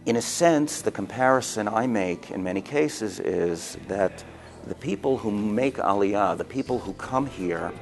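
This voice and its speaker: Slow, measured American man. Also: on the low side, animated, soft background music. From United States. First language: English. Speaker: interviewee, organization founder. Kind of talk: interview.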